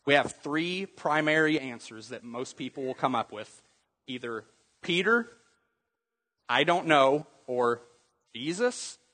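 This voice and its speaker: A man's voice: mid-range voice, animated, slow pace, pauses often, sharp tone, big-room sound, low-quality recording. A man preaching a sermon in a church in a conversational teaching manner, quizzing the congregation with questions. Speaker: pastor.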